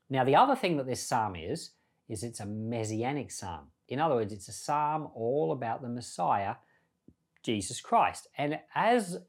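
A man with a medium-pitched voice speaks as a pastor on a Bible podcast. He reads and explains scripture informally, in an earnest and conversational manner. His delivery is that of someone patiently explaining a text to listeners. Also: a little mellow.

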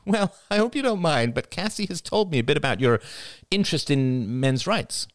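Audiobook role: eager female journalist, pushy, lifted lively voice performed by a man. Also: on the high side, moderate pace, dry recording, studio quality, pitched above the performer's own voice. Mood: friendly, bright. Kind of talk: audiobook character voice.

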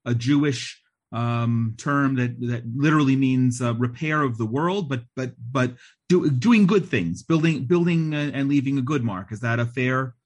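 Host: man, mid-pitched husky voice, hesitant interviewer who stumbles a lot. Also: moderate pace. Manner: hesitant, stumbling over words. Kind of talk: interview questions.

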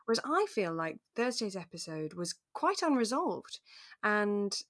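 A British woman co-hosting a podcast in relaxed, casual chat about a radio drama. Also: mid-range voice, unhurried, no room sound, clean studio sound. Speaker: podcast co-host.

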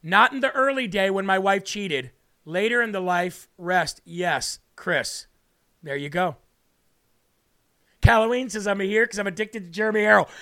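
Raspy-voiced man hosting a faith livestream. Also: high voice, moderate pace, pushed and bright. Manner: talks straight to viewers, repeats phrases for emphasis. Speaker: host of a faith livestream show. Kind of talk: livestream preaching and reading viewer comments.

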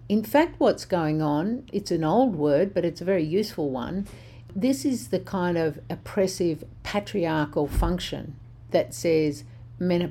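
Older woman, host, losing her voice, low-pitched, mellow, blunt, impassioned commentary. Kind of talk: spoken commentary on social justice.

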